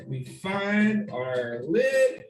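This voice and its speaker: A man with a slightly high voice hosts a children's demonstration. He speaks very slowly in simple steps, asking the young viewers questions and leaving room for answers. The room adds a clear echo to his voice. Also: very animated, faint music behind. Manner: simple step-by-step phrasing with questions and pauses for the child. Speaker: children's story time host. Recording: roomy echo.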